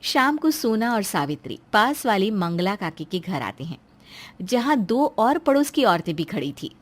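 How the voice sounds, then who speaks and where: A woman's voice: medium pitch, sing-song, normal speed. A woman working as a story narrator, reading scripted narration for a moral tale.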